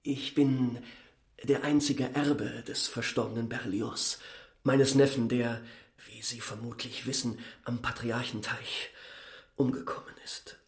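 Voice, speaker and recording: A man's voice: medium pitch, steady tone, unhurried, lightly acted. Man as a formal planner in a roomy space. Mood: emphatic, formal. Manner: formal, emphatic, courteous. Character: shrewd, polite male economic planner, self-important heir. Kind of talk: German radio drama acting. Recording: roomy, reverberant studio sound.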